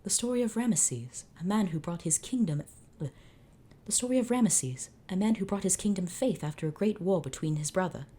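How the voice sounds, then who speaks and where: A woman voicing a gentle, kindly young male pharaoh, speaking with warm courtesy. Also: medium pitch, expressive, brisk, slightly rough, voice pushed up.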